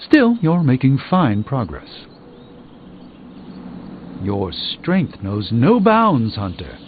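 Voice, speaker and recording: An actor playing a learned, dryly witty sorcerer superhero in a video game, speaking formally and theatrically. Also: male voice, mid-range voice, huge pitch swings, very slow, slight background noise, exaggerated voice. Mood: admiring. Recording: dull game audio under music.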